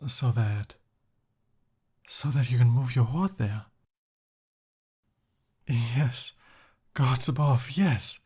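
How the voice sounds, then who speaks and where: A man acting an earnest, lovestruck young prince in a romantic ASMR roleplay, with halting, emotional, pleading and easily flustered delivery. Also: medium pitch, a little mellow, slightly rough. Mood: stunned, joyful.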